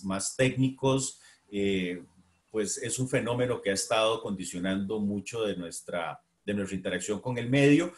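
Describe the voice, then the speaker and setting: A middle-aged Costa Rican man giving a university lecture, with a Costa Rican accent, pausing often with fillers and restarting his phrases. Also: on the low side. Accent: Costa Rican Spanish. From Costa Rica. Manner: hesitant, many fillers and restarts. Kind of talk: academic lecture.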